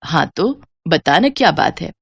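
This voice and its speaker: A woman puts on a middle-aged village mother for a cartoon tale. Her voice is pitched down, cautious and full of fretful questioning. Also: big pitch swings, a little slow, vocal fry, slightly rough, no room sound, studio quality, heavily acted. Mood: concerned, doubtful. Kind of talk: animated moral story voice acting.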